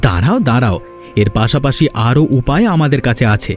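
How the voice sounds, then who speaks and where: A man's voice: medium pitch, animated, brisk, close to an everyday voice. A man voicing a spiteful, scheming villager in a cartoon fairy tale, with a grumbling, conniving manner. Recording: music behind the voice.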